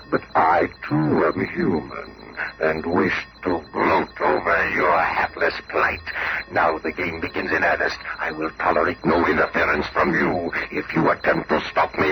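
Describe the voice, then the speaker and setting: An actor playing a pompous, gloating megalomaniac supervillain in a 1970s radio drama, with a deep, gravelly, menacing voice. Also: male voice. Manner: pompous, menacing, formal theatrical speech. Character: diabolical gloating megalomaniac male supervillain scientist. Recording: very noisy old radio show recording.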